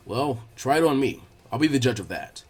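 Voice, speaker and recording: A man voicing a calm, encouraging adult ninja teacher in a story reading, measured and reassuring. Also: medium pitch, husky, voice pushed down. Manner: measured, reassuring, laid-back. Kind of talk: fan fiction character dialogue.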